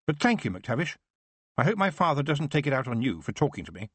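A man voicing an earnest young nobleman's son, gentle but defiant, speaking formally. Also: mid-range voice, big pitch swings, fast, husky, no echo, voice pushed up.

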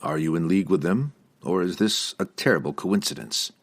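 A man voicing a principled, defiant dark elf warrior in a dry, formal manner for a fantasy audiobook. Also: deep voice, very animated, normal speed, gravelly, husky, dry recording, full character voice. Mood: grave defiance.

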